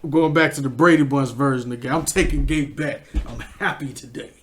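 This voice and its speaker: A man's voice: mid-range voice, brisk, a little hiss or hum. A young adult man co-hosting a podcast, joking and bantering in casual group chat and laughing often. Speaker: podcast co-host.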